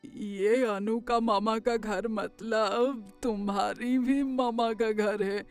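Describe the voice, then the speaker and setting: Old grandmother, acted by a woman, affectionate and welcoming, unhurried pace, cartoon story, soft music underneath. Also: on the high side, fairly even intonation, clean tone. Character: hospitable, doting old grandmother. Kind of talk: Hindi cartoon story voice acting.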